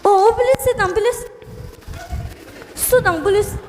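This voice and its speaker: A woman's voice: very high-pitched, slow, a little hiss or hum. A young woman with a Tibetan accent playing a fussy, welcoming mother in a school stage comedy, exclamatory and repetitive, in an echoey hall. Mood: eager, welcoming. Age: young adult. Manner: exclamatory, animated, repeats herself.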